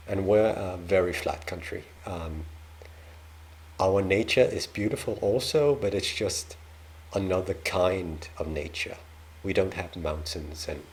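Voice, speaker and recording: A man's voice: low voice, slight background noise, poor audio. A man from Denmark with a Danish accent, hosting a casual reaction video and talking hesitantly with many ums and restarts.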